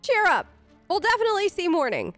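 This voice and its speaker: A woman's voice: very high voice, wildly swooping pitch, quick pace, a bit bright, soft background music. A woman role-playing through a video game, speaking chattily in informal, in-character replies. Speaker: let's player.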